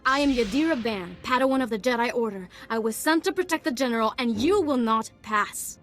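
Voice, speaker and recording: A woman's voice: a little high, lively intonation, brisk, faint music behind, close to an everyday voice. A woman playing a young Jedi apprentice in a science fiction game, solemn, resolute and formal in her speech. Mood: solemn defiance. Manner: noble, formal.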